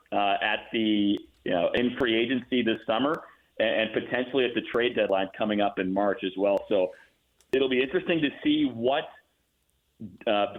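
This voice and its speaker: A man speaking as a guest announcer in a casual sports radio interview, thinking aloud with halting, repeated words. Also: lowish voice. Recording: muffled phone-like line.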